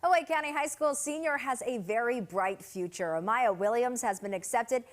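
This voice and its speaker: A woman presenting upbeat news stories in a clear, scripted anchor delivery. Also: on the high side, moderate pace.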